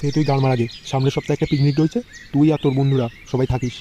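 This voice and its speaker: A man's voice: medium pitch, steady tone, very fast, warm tone, a little hiss or hum, natural voice. A man playing a fussy, scolding middle-aged father in a comic cartoon, bossy and slangy.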